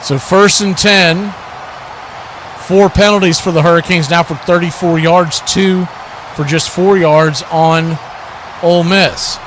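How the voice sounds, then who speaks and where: Higher-pitched American man calling football, noisy background. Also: fairly even intonation, takes it slowly, a bit bright. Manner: clipped short phrases calling each action. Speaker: play-by-play announcer for an online watch party stream. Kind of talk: live American football play-by-play commentary. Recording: clear background noise.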